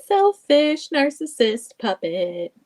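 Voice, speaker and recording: A woman's voice: high voice, sing-song, slow, darkish tone. A woman co-hosting a review in casual chat, playfully dramatic and giggly. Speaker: co-host of a TV episode review.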